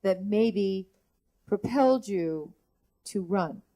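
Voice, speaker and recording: Woman, radio interviewer, soft-edged mellow tone at an average female pitch. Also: expressive, slow, creaky. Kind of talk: interview questioning.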